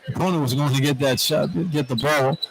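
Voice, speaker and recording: A man's voice: on the high side, steady tone, a little fast. A man giving informal, opinionated color commentary on a live radio basketball broadcast in a conversational, colloquial way. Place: indoor basketball arena. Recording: radio broadcast over loud arena noise. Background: loud arena ambience.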